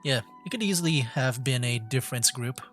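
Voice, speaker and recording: A man streaming a video game, commenting casually and thinking out loud as he plays. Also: medium pitch, expressive, brisk, music in the background. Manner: chatty, thinking aloud. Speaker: gaming streamer.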